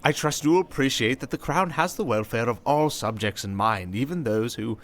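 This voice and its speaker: A man playing a smooth, condescending prime minister in a fantasy audio drama, speaking in a pompous, formal and evasive manner. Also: medium pitch, lively intonation, brisk, fairly bright tone, lightly acted. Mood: placating, smug.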